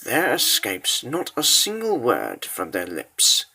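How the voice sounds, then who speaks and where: Slow, dramatic male storyteller. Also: mid-range voice, wildly swooping pitch, gravelly, pushed and bright, husky, rough recording. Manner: grave, deliberate, theatrical pauses. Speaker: narrator of a comic dub. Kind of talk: dramatic scripted storytelling narration.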